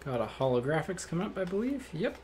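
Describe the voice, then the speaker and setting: Male host, a bit raspy, higher-pitched, easygoing card-break patter, faint room noise. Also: quick pace. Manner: relaxed, matter-of-fact, thinks aloud. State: slightly raspy voice. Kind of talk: casual card-opening commentary. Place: indoor room at a card table.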